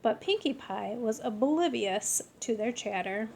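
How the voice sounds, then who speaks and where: A woman reading a children's picture book aloud expressively, deliberately stressing key words. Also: a little high, expressive, creaky, a little mellow, slight background noise. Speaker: story reader.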